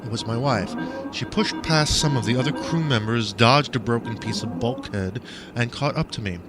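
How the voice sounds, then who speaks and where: A man reading first-person fiction aloud as an audiobook narrator, with an expressive, dramatic delivery. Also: medium pitch, normal speed, over music.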